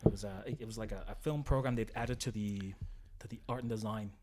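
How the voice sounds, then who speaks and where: A man's voice: fairly low pitch, fairly even intonation, quick pace, background noise. A man in his thirties, originally from Chile, chatting casually as a podcast guest and telling stories about his work. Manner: storytelling, repeats words when starting sentences.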